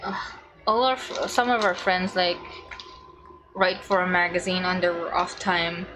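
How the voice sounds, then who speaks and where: A woman's voice: lowish voice, fairly even intonation, measured pace, noisy background. A young woman from the Philippines giving casual, gushing reactions as she streams a video game. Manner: gushing, chatty. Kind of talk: casual livestream reaction commentary. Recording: game music behind the voice.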